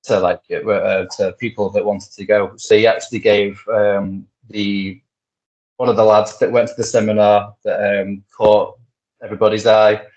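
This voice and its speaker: A man's voice: on the low side, flat delivery, normal speed, darkish tone. A man co-hosting a wrestling podcast, rambling casually with lots of fillers and restarts. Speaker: podcast co-host. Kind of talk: casual wrestling news chat. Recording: muffled lo-fi podcast audio.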